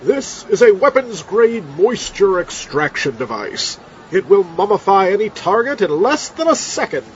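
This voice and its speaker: A man playing a pompous, easily rattled mad scientist in an audio comedy, declaiming excitably. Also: sits high, a little slow, a little hiss or hum. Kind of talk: comedic audio drama acting. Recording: dull recording over music.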